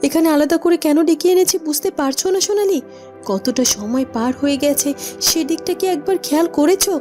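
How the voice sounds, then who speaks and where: A woman's voice: sits high, animated, brisk, darkish tone, faint music behind. A woman voicing an anxious, protective queen mother in a cartoon, scolding with agitated, repeated questions. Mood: impatient. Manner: agitated, repetitive, reproachful questioning. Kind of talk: melodramatic cartoon acting.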